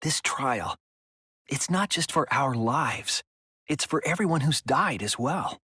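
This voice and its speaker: A man playing an earnest young sleuth in a dramatic game, reasoning with deliberate emphasis and compassion. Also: mid-range voice, expressive, pushed and bright, slightly rough, exaggerated voice. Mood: solemn, encouraging. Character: earnest young male sleuth reasoning through a murder trial. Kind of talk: dramatic game-dub acting.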